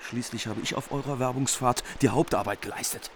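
An actor playing a bold, self-assured hero, demanding and resolute, in a literary radio drama. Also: male voice, medium pitch, brisk, natural voice. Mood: insistent, demanding. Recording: loud background noise.

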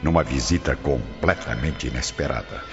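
A man's voice: rumbling low, normal speed. A man with a Brazilian accent acting as the solemn narrator of an ancient Roman saga on a radio drama. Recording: dull sound, long reverb, loud music behind.

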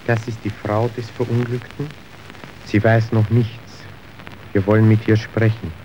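A man's voice: lowish voice, level tone, mellow, natural voice. Man acting a slick, calculating factory official, muffled recording. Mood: coolly persuasive. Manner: measured, persuasive, officious. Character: slick, calculating male factory boss's agent, smoothly manipulative. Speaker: actor. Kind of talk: stage-like German radio drama acting. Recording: dull, noisy old radio recording.

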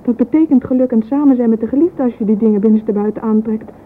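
Actress as dreamy young romantic, tinny old recording. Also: female voice, on the high side, quick pace, close to an everyday voice. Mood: fretful. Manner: intimate, wistful, often breathy and half-whispered. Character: dreamy, vain young romantic woman, yearning and sentimental. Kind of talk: radio drama interior monologue. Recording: narrow phone-like old radio recording, faint hiss.

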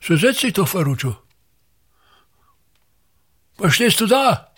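An actor playing a pompous, grandiose older thespian patriarch in a radio drama, declaiming with gravelly, aggrieved emphasis. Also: male voice, sits high, sing-song, slow pace, a bit bright, a little hiss or hum, heavily acted. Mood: imperious. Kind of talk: theatrical radio drama monologue.

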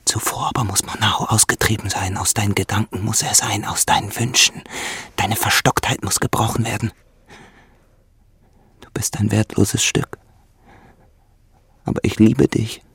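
An actor playing a poor, jealous and possessive farmhand in a German radio drama, with a brooding, insistent and menacing manner and a gravelly, husky voice. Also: male voice, fairly low pitch, steady tone, normal speed, long pauses, a bit bright, heavily acted. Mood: reproachful, insistent.

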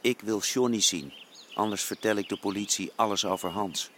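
An actor in an audio drama playing a stubborn underworld negotiator, blunt and insistent. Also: male voice, lowish voice, moderate pace, heavy background noise, close to an everyday voice. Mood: defiant, urgent. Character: stubborn male underworld go-between bargaining for a captive.

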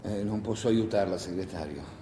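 A man's voice: moderate pace, warm tone, lightly acted. Man playing a terse partisan, echoey room. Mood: sober, firm. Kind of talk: solo theatre monologue. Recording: echoey room with noise and rumble.

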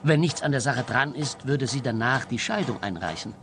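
A man's voice: mid-range voice, expressive, normal speed, natural voice. A man playing a fearful, worried husband in a radio play, speaking earnestly and precisely. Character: anxious, fearful husband, a detective's client.

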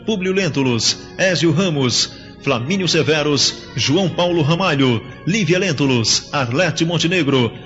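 A man announcing the cast and crew credits of a radio drama in a measured, formal manner. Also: fairly high pitch, animated, a bit bright. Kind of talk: reading production credits. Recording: reverberant, muffled, music behind.

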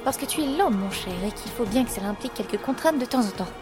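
A woman acting a bold, quick-witted adventurer sister in an audio drama, dry and commanding. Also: fairly high pitch, lively intonation, natural voice. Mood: wry, determined. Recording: loud music behind.

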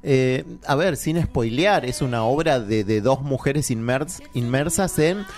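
A man's voice: medium pitch, expressive, a little slow, darkish tone, faint music behind. Hesitant middle-aged Argentine radio man. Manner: hesitant, many fillers and restarts. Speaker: radio show co-host. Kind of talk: casual radio chat.

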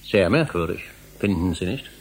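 An actor in a German radio drama playing an embittered, insistent history scholar, speaking formally and rhetorically with a husky, gravelly voice. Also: male voice, sits low, lively intonation, normal speed, heavily acted. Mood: probing, incredulous.

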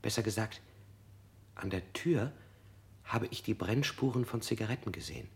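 A man's voice: on the low side, animated, normal speed, a little mellow. An actor playing a frightened man under interrogation in a radio drama, who keeps correcting himself and sometimes drops to a whisper. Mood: confused.

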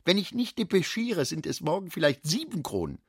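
An actor playing a worried middle-aged father and shrewd businessman in a radio drama, with a husky voice and short, emphatic phrases. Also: sits high, big pitch swings, fairly quick, no echo, studio quality, exaggerated voice. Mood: agitated, calculating. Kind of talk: German radio drama acting.

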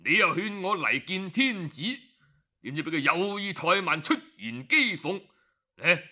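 A man's voice: high-pitched, lively intonation, unhurried. A man voicing a proud, aggrieved duke with a Cantonese accent, complaining indignantly in an oral historical story. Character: proud, aggrieved duke grumbling at his adviser. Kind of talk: storytelling character voice. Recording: dull, lo-fi audio.

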